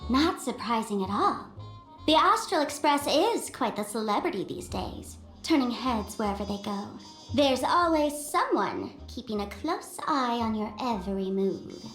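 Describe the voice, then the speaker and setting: A sly young female trickster from an anime-style game. Her voice is high and animated, coy and theatrical, with a roomy sound and soft music underneath. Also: a little slow. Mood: sly, amused.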